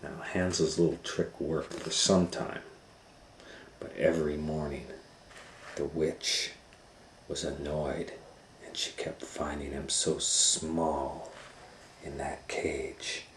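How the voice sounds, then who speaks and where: A man reading a children's storybook aloud as host, with expressive, drawn-out storytelling. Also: rumbling low, slight background noise. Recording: roomy, echoing indoor sound.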